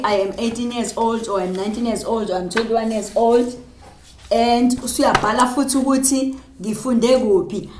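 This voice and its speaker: South African teacher in echoey room. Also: female voice, fairly high pitch, a little hiss or hum. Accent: South African, Zulu. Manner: mixes Zulu and English, dictates slowly while writing. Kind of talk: classroom lesson on job applications.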